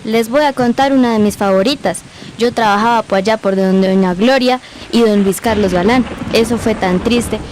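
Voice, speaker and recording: A woman's voice: a little high, normal speed, darkish tone, lightly acted. Woman acting folksy Colombian grandmother, noisy rainy backdrop. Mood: nostalgic storytelling. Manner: folksy rural storyteller speech. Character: old Colombian grandmother, former housemaid, nostalgic, plainspoken. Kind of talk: amateur radio drama acting.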